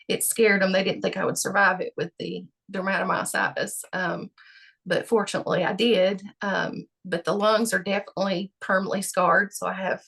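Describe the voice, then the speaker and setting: Gravelly-voiced woman, halting answers. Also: medium pitch, little pitch movement, fairly bright tone. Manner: halting, many fillers and restarts. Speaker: patient guest. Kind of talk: personal interview answers.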